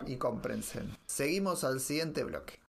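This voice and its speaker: A man's voice: mid-range voice, animated, unhurried, gravelly. A man with an Argentine accent hosting a conversational news show. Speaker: podcast host. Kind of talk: conversational comics news talk.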